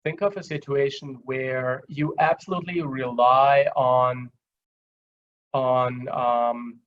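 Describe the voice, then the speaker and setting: A dull, lo-fi online recording of a man with an Austrian accent. He lectures in a conversational, explanatory way at a mid pitch, halting often as he works through simple examples. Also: fairly even intonation. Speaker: guest lecturer. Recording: dull, lo-fi online call audio.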